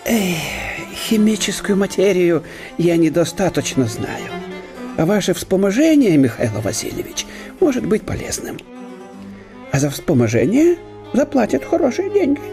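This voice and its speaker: A fawning courtly old professor. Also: male voice, high-pitched, big pitch swings, normal speed, dark tone, soft background music. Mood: ingratiating. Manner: obsequious, hesitant with fillers. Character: fussy courtly German professor, older man. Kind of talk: radio play acting.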